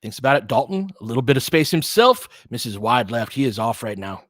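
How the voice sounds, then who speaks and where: A man's voice: medium pitch, sing-song, a little fast, a bit bright, no echo, clean studio sound. A man giving conversational, partisan basketball play-by-play commentary, reacting openly to the action as it unfolds.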